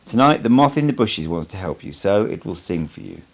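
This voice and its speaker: A man's voice: on the low side, sing-song, brisk, gravelly, exaggerated voice. A man voicing a cryptic sorcerer teacher in an audiobook, speaking in a dry, instructive manner.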